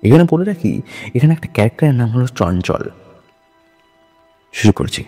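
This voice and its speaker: A man narrating scripted horror stories in a suspenseful, deliberate way, with dramatic pauses between phrases. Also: medium pitch, sing-song, warm tone, faint music behind.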